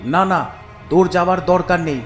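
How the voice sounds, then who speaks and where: A man's voice: sits high, normal speed, soft background music, lightly acted. A man voicing an anxious, timid village father in a horror cartoon, with a worried, pleading and cautious manner. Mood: frightened. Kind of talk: animated horror cartoon acting.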